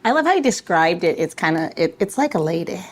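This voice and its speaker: A woman co-hosting a podcast, chatting casually in a playful, expressive way. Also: fairly low pitch, fast. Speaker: podcast co-host. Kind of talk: casual conversational interviewing.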